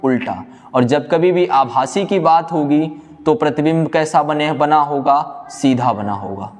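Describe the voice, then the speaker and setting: Echoey high-pitched male physics teacher. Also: measured pace. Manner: asks questions and answers them himself, repeats key phrases for note-taking. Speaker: physics teacher in an exam coaching class. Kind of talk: classroom lecture, explaining step by step. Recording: echoey hall-like room reverb.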